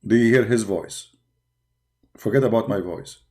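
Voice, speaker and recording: Mellow-voiced sarcastic male host. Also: lowish voice, moderate pace. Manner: mocking and sarcastic, talks to viewers. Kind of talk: casual reaction commentary on a livestream.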